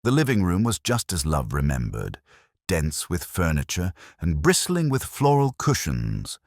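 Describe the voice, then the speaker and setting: A man's voice: low voice, huge pitch swings, unhurried, no echo, clean studio sound. A man narrating a scripted mystery story as an audiobook-style storyteller.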